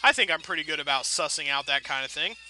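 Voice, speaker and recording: Guy doing rambly lets play commentary, really sharp bright voice, wry and self-deprecating, faint game music behind him. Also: on the high side, animated, fast, poor audio. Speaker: video game let's player. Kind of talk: casual rambling gameplay commentary.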